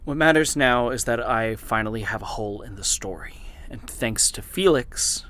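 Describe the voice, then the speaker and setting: An actor playing an exhausted, obsessed male investigator in an audio drama, brooding and halting as he records his confessional log. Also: medium pitch, bright, forceful tone, lightly acted. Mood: brooding, grave.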